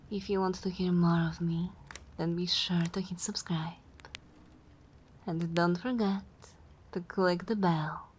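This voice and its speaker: A woman hosting an ASMR channel, speaking softly and gently in a breathy half-whisper. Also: lowish voice. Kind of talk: soft-spoken ASMR outro.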